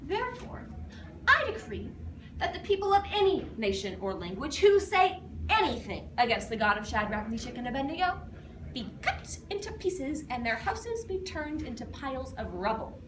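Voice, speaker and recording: A woman's voice: sits high, very animated, normal speed, voice pushed up. A woman playing a proud, wrathful ancient king in a dramatic, declamatory recitation, commanding and emphatic. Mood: awed, decreeing. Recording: distant mic in noisy, echoey room.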